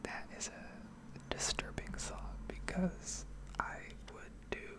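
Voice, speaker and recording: A woman whispering an unscripted ASMR ramble in a reflective, hesitant way with trailing pauses. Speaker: ASMR video creator rambling over gameplay.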